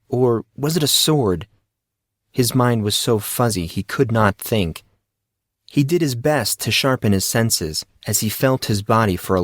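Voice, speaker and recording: Guy narrating a novel, lowish voice, takes his time, very dry recording. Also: no room sound. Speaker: story narrator. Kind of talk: scripted fiction narration, audiobook.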